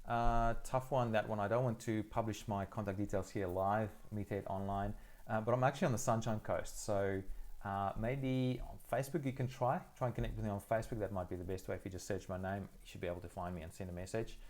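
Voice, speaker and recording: Low-voiced man hosting a live Q&A. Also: steady tone, brisk, darkish tone. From South Africa. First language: Afrikaans. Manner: thoughtful, hesitant, many fillers and restarts. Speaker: livestream host answering viewer questions. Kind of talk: casual live Q&A. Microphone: phone.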